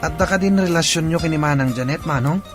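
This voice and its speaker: Actor as a curious younger brother, higher voice. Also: male voice, fast talker, soft background music, close to an everyday voice. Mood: curious, teasing. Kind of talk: radio drama acting.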